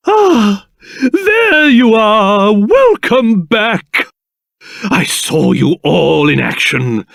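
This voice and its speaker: A man voicing a pompous, fussy, excitable little old king in a video game, speaking theatrically with old-fashioned flair. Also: high voice, creaky, no room sound, studio quality, full character voice. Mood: genial, self-satisfied. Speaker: voice actor.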